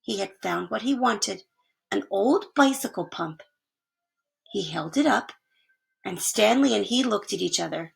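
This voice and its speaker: A woman reading a children's story aloud in an expressive narrating style. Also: medium pitch, measured pace, gravelly, pauses often, husky, rough recording. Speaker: story reader.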